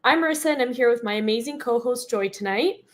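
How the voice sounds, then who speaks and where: An enthusiastic woman hosts a live talk show and welcomes her guest in an effusive way. Her voice sits a little higher than average. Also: quick pace. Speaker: host.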